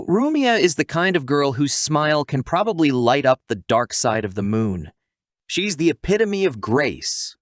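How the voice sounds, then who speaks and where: Male narrator for recap videos: playful, theatrical storyteller, medium pitch, close dry sound. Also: a bit bright, no echo.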